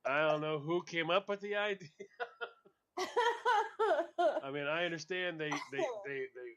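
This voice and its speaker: A man's voice: a little high, normal speed, sharp tone, clean tone, lo-fi sound. A male radio host in casual on-air banter, joking and laughing often as he tells stories.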